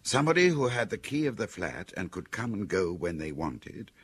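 A man's voice: deep voice, very animated, moderate pace, a bit bright, husky, no room sound, heavily acted. An actor playing a detective's dry, probing male confidant in a radio drama, reasoning aloud wryly. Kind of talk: radio drama acting, intimate dialogue.

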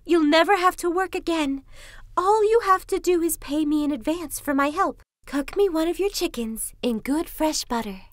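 A woman voicing a sly female fox trickster from a children's folktale, coaxing and flattering. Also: very high voice, moderate pace, no room sound, studio quality, voice pushed up. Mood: pleading, coaxing. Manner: coaxing, flattering, smooth-tongued.

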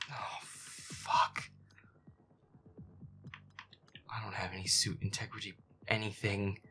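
A man voicing a feisty, grumpy young werewolf boyfriend in a gameplay roleplay, muttering irritably and swearing to himself in a breathy voice. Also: fairly low pitch, background noise, natural voice. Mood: tense, muttering. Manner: foul-mouthed, irritable muttering with nervous outbursts.

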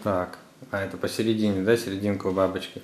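Very deep-voiced dad in a roomy space. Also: male voice, little pitch movement, fast, darkish tone. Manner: instructing and prompting. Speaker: father guiding children through a craft. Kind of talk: casual family chat. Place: indoor room at home. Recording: roomy echo, faint background noise.

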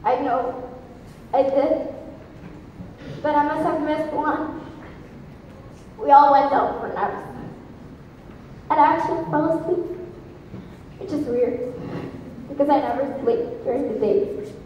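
Kid acting as distraught grieving mom, theatrical stage monologue, high voice, super echoey hall. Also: female voice, slow pace, pauses often, muffled recording. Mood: wistful, grieving. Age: child, about 11 or 12. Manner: theatrical, insistent rhetorical questioning. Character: distraught, defiant bereaved mother questioned by a doctor. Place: school auditorium. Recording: echoey hall from far off, faint noise.

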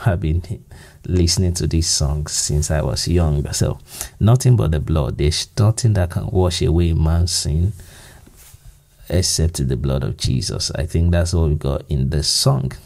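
A Nigerian man with a low, gravelly voice talks through a screen-recorded software tutorial. His tone is mellow and dark, and he thinks aloud with easygoing fillers and restarts as he goes. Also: animated, a little slow. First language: Yoruba. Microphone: voice-over to screen recording.

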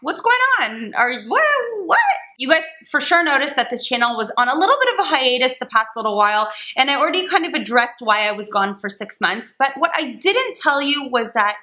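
A young woman from Canada chatting to the camera in a vlog, rambling and animated with lots of fillers. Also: high voice, brisk, few pauses. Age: young adult. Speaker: vlogger. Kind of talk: casual chat to camera. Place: small dim living room indoors.

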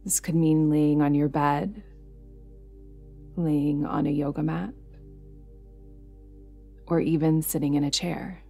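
A woman guiding a yoga nidra meditation in a soothing, unhurried way, leaving pauses between instructions. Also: low-pitched, steady tone, vocal fry, warm tone, breathy edge. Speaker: meditation guide. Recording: music behind the voice. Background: music.

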